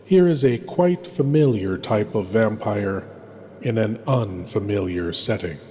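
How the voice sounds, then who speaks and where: A man playing a sinister, theatrical horror host lord, speaking in pompous, deliberate, intimate phrases to introduce scary tales. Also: on the low side, expressive, mellow, a little hiss or hum. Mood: ominous. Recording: long hall reverb.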